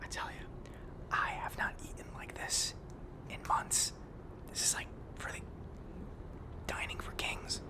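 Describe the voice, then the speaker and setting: A man playing a friendly, awkward, down-on-his-luck barber on the run, rambling haltingly in an ASMR roleplay with a breathy whisper. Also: natural voice, rough recording. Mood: contented, chatty. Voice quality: breathy whisper with some fry.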